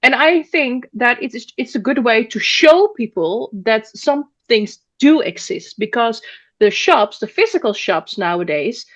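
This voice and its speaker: A woman from the Netherlands, speaking English as a columnist guest in a casual roundtable, thinking aloud with frequent pauses and restarts. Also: fairly high pitch, animated, dull audio. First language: Dutch.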